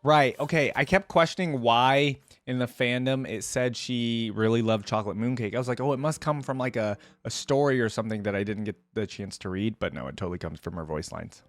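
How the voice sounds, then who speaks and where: A woman's voice: rumbling low, brisk, few pauses. A young adult woman giving casual reaction commentary as a video host, in an amused manner.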